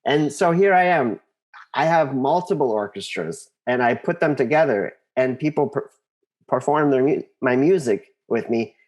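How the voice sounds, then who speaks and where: An American man speaking as an interview guest, telling personal stories casually and earnestly, often halting and repeating words as he thinks. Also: medium pitch, expressive, darkish tone. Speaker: interview guest, composer and conductor.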